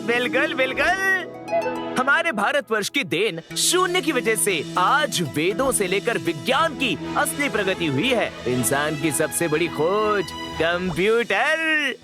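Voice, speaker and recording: A woman's voice: fairly high pitch, very animated, slow pace. A woman playing a playful, wise male guide who teaches children in a theatrical, encouraging way in an educational cartoon. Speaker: storytelling teacher. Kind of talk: animated educational cartoon narration. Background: background music.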